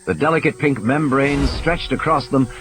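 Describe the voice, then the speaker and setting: A man's voice: mid-range voice, moderate pace, fairly bright tone. A man giving a dramatic scripted narration of a story as an audiobook narrator. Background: music and sound effects.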